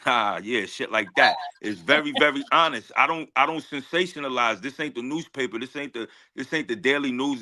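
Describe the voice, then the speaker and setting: A man's voice: medium pitch, bright, forceful tone. A man, a rapper talking casually in an interview with heavy slang, confident and emphatic, often repeating his phrases. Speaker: guest rapper.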